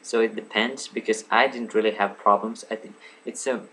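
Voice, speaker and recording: A man's voice: fairly low pitch, steady tone, moderate pace, a bit bright, slight background noise. A young man in his late teens, a native Swedish speaker, rambling casually to a webcam at home, full of ums, asides and apologies. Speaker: vlogger. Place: home room lit by a lamp.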